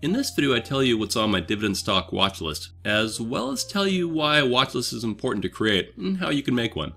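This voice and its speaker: A man's voice: medium pitch, very animated, a little fast, creaky, pushed and bright, soft background music. A man hosting a dividend investing channel, reading a scripted, conversational explainer while slightly under the weather. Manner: upbeat, conversational, explaining step by step. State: slightly sick, voice a bit off.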